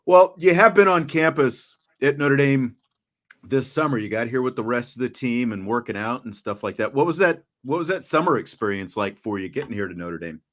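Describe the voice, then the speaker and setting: Guy doing a chatty sports interview, mid pitch, keeps restarting words. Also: brisk.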